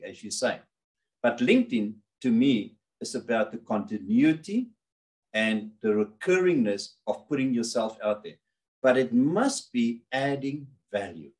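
A middle-aged man from South Africa whose first language is Afrikaans, talking animatedly with his hands as a guest on a video call interview from his home office. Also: medium pitch. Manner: animated, gesturing with both hands. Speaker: guest financial planner. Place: home office with framed pictures.

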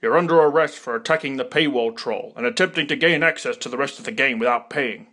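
A man's voice: medium pitch, fast talker, sharp tone, husky. A man voicing an officious, businesslike publisher's agent in a cartoon. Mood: stern. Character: officious male agent of video game publishers. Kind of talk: cartoon character acting.

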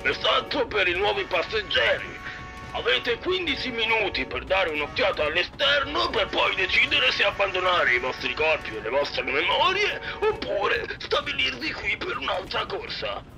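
A man's voice: sits high, huge pitch swings, moderate pace, gravelly, music in the background, full character voice. A man playing the formal announcer of a train to the afterlife in an audio drama, with theatrical delivery. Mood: ceremonious.

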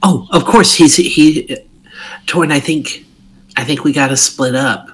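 A man playing a tabletop roleplay game, voicing his character eagerly with many halting fillers. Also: a little high, fairly bright tone, breathy edge. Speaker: player.

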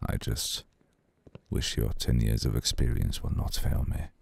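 An ancient vampire lord, acted by a man, speaks in an extremely low, husky rumble. He is slow, formal and seductive, with cool courtesy and a possessive edge. The ASMR roleplay is recorded dry and close. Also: steady tone, darkish tone, no room sound, full character voice. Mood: welcoming, formal. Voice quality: very deep, gravelly.

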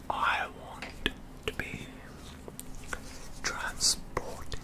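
A young man performing a soft-spoken ASMR roleplay, breathy and mostly whispered, with slow, mannered, genteel and theatrical phrasing. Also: low-quality recording. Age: young adult. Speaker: ASMR roleplay performer playing a model painter. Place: indoor studio with plain backdrop.

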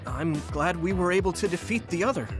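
A man's voice: sits high, animated, fairly quick, music in the background. A man playing a polite, modest young rookie soldier in an anime game, hesitant and earnest. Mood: awkward.

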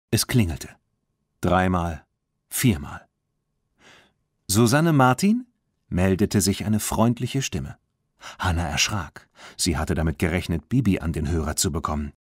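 A man narrating a children's story as a scripted audiobook, in an expressive storytelling manner. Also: low-pitched, slightly rough, no room sound, studio quality.

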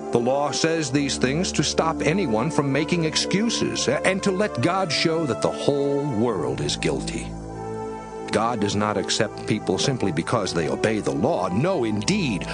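A man reading scripture as a scripted audiobook, with an emphatic, measured and rhetorical delivery. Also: medium pitch. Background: music under the voice.

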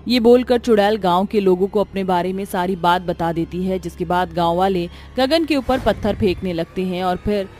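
Female horror-story narrator, medium pitch. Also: moderate pace, few pauses, a little mellow. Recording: music behind the voice.

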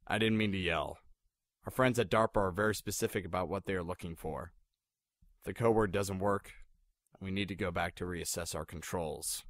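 An actor playing a demanding, quick-tempered lead researcher in an audio drama, formal and authoritative. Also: male voice, deep voice, steady tone, dry recording, clean studio sound, close to an everyday voice. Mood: controlled, conciliatory. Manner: formal, commanding. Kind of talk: scripted audio drama dialogue.

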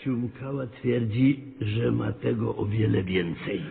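An actor playing a stern, sly parish priest who preaches in ponderous phrases in a radio play. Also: male voice, mid-range voice, slow pace, gravelly, slightly rough, phone-quality sound, exaggerated voice. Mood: gravely insinuating. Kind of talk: radio drama acting. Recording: thin band-limited radio sound, reverberant, faint noise.

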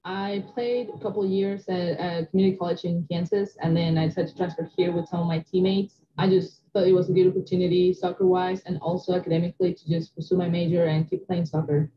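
A young woman from Mexico talks about playing soccer and studying, relaxed and friendly, with a slightly low voice. The recording is a bit dull. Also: fast, hardly any pauses. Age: young adult. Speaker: student athlete. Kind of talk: documentary interview.

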